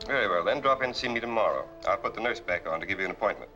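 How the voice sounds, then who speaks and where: A man's voice: on the low side, expressive, rapid-fire, bright, forceful tone, dull audio. An actor playing a courteous, formal, probing male doctor in a 1940s film. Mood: professional. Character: smooth-mannered, inquisitive male doctor. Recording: vintage film soundtrack, faint music.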